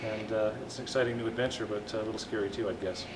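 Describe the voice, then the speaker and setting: Fast-talking, halting male interviewer. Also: on the low side, fairly even intonation. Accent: Canadian. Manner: halting, many fillers and restarts. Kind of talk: casual interview questions. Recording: noisy camcorder audio, partly off-mic.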